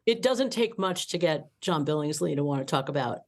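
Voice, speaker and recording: A woman with a low-pitched voice co-hosts a podcast. She talks casually, as in a relaxed group conversation. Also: fairly quick. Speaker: podcast co-host. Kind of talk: casual chat.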